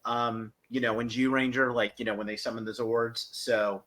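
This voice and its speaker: A man co-hosting a podcast, recapping and reviewing episodes casually in a halting, piecemeal way. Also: mid-range voice, flat delivery, a bit bright. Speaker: podcast co-host.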